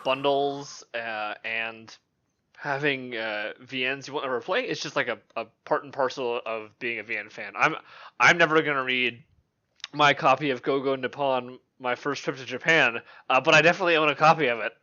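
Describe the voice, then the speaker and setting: Forceful man on a dull lo-fi mic. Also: mid-range voice, normal speed. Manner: enthusiastic, joking, teasing. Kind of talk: casual podcast discussion.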